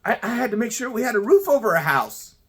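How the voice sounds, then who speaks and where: A man's voice: high-pitched, big pitch swings, fast, a bit bright, pitched above the performer's own voice. A man putting on the voice of a whiny, stammering adult man pleading excuses.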